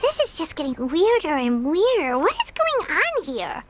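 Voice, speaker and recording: An actress voicing Paimon, a small, excitable fairy companion who talks about herself in the third person, in a video game. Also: female voice, normal speed, heavily acted. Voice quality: high, squeaky. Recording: soft music behind.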